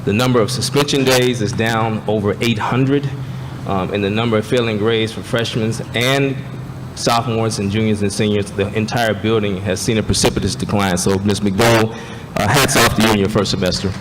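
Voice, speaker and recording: A man's voice: on the low side, fairly even intonation, moderate pace. A man, a school district administrator, giving upbeat announcements with frequent fillers at a school board meeting. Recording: noisy, reverberant meeting hall.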